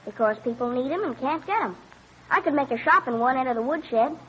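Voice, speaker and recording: A woman's voice: high-pitched, expressive, brisk, fairly bright tone, husky, heavily acted. A woman playing an eager, polite farm boy in an old-time radio drama. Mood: eager, earnest. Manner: earnest, polite. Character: eager, bright farm boy who loves figuring. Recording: dull vintage radio recording.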